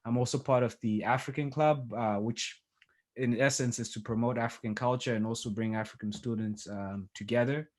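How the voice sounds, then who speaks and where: Male student on a video call, mid-pitched voice, casual and halting, lots of fillers. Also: fairly even intonation, a little mellow. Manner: hesitant, many ums and you-knows. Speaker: student speaker. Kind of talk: casual talk on a webinar.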